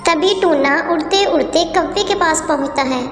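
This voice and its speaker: A woman with an extremely high voice narrates a children's story from a script. The sound is echoey with a long reverb, and music is clearly audible underneath. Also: normal speed, fairly bright tone.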